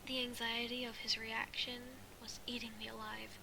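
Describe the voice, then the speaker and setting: A woman with a fairly high voice reads a first-person story aloud over clear background noise. Her delivery stays within a very narrow, flat range of pitch. She goes haltingly, tripping on phrases and going back over them like an amateur reader. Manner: halting, stumbling and rereading phrases. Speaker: amateur fan fiction reader.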